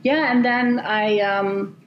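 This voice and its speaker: A woman from Germany chats as a podcast guest, pausing often with fillers and restarts. Her voice comes through a slightly dull, roomy recording with faint noise behind it. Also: mid-range voice, fairly even intonation. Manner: hesitant, many fillers and restarts. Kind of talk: casual interview chat.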